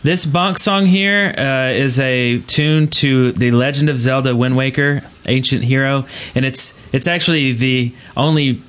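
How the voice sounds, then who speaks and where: A man hosting a casual gaming podcast, talking in a rambling, halting way. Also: medium pitch, fairly bright tone. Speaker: podcast host.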